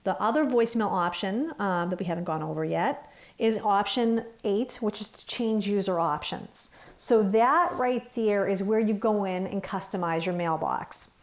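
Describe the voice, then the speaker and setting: A woman's voice: mid-range voice, moderate pace. A woman working as a trainer, talking through instructions step by step in an informal, conversational way. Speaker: phone system trainer.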